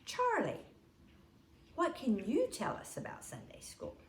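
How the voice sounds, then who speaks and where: A prim, formally polite Sunday school teacher, put on by a woman reading a story. The pitch swoops up and down over slow, careful phrases, in an echoing room. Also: wildly swooping pitch, heavily acted. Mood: bright, presenting.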